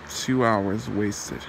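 A possessive demon husband acted out by a man taunts his listener in an ASMR roleplay. He speaks very slowly in a mid-pitched, creaky voice, mocking and leaving long gaps. A faint noise sits behind him. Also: full character voice.

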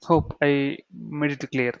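A man with an Indian accent giving an explanatory software tutorial, repeating key phrases and checking in with "okay" and "right". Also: medium pitch, fairly even intonation, takes it slowly, gravelly, no room sound. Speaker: software trainer. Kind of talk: explanatory screen-share tutorial. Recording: dull, muffled lo-fi sound.

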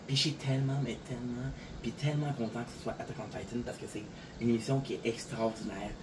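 Un homme de Montréal à l'accent québécois, un peu fatigué, qui parle de façon décousue en se répétant beaucoup. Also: male voice, mid-range voice, little pitch movement, quick pace, background noise. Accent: Québécois French. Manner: rambling, repeats words, frequent fillers. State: a little tired. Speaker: content creator. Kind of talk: casual talk to camera. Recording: distant voice with clear outdoor ambience.

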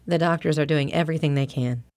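A woman's voice: deep voice, fast talker, darkish tone, dry recording, studio quality, close to an everyday voice. A woman playing an earnest, inquisitive social worker in an audio drama, polite and questioning. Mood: polite, probing. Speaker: voice actor.

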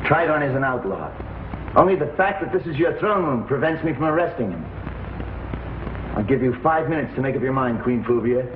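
A man's voice: mid-range voice, lively intonation, fairly quick, background noise, lightly acted. A man playing a bold, defiant young hero in a 1950s television space opera, speaking firmly. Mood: stern. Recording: muffled, echoey 1950s TV soundtrack, faint music.

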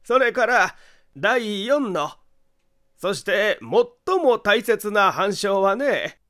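A man voicing an eerie, amused detective who lays out his case deliberately in an audiobook mystery. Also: high voice, lively intonation, bright, forceful tone, heavily acted. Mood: gleefully amused. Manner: deliberate, theatrical, amused emphasis. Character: eerie chuckling amateur detective, calm, relentlessly accusing man. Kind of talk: dramatic audiobook mystery acting.

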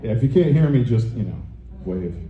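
A middle-aged man giving a conference talk on a handheld microphone in a hotel meeting room, loud and booming, with many fillers and restarts. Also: expressive, fairly quick, muffled recording. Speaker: conference presenter. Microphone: handheld microphone through room speakers. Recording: echoey conference room, faint noise.

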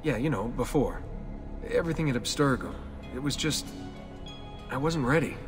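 A man's voice: medium pitch, animated, normal speed, lightly acted. An actor playing a sarcastic young everyman in a video game, talking in a casual, wry way. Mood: apologetic. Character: sarcastic young everyman, reluctant assassin recruit. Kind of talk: video game cutscene acting. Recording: long reverb, music behind.

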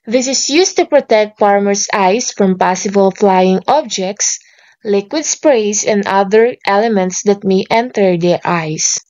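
A woman teacher slowly reading farm safety lesson slides aloud, item by item. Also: medium pitch, a little mellow, muffled recording. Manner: slow, deliberate reading of lists.

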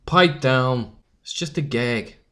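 A man's voice: mid-range voice, slow pace, sharp tone. A man playing a defiant, streetwise young newsboy leader with slangy, commanding speech in an audio drama. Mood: impatient.

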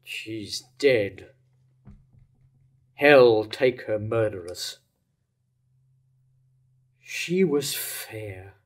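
An older man playing a grief-stricken, remorseful tyrant king in a staged reading of verse tragedy, declaiming in measured lines. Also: lowish voice. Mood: mourning. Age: older, around 70. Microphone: lectern microphone.

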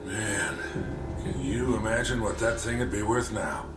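An actor as a gruff older treasure hunter. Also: male voice, lowish voice, a little slow, recorded from a distance, very noisy, close to an everyday voice. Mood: grumbling. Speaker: game character. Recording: game audio played in a roomy studio.

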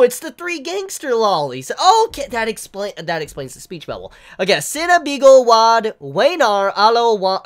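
A man's voice: high-pitched, fairly bright tone. A young man in his twenties, congested with the flu, reviewing a manga chapter to camera from his living room, rambling and stammering as he sounds out made-up words. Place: living room with couch, indoors.

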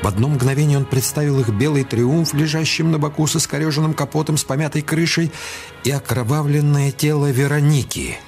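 A man narrating a detective radio play in a measured, dramatic way with deliberate pauses. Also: fairly high pitch, fairly even intonation, a little mellow. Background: music.

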